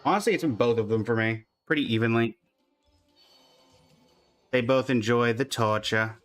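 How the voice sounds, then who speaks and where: A man's voice: mid-range voice, moderate pace, faint music behind. A man giving casual, chatty commentary on a game livestream, talking to his chat and humming little filler syllables.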